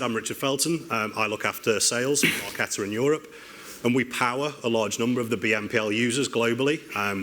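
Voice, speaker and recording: A man's voice: on the low side, bright, forceful tone, slight background noise. A man moderating a conference panel, talking with frequent ums and restarts. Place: conference stage with audience.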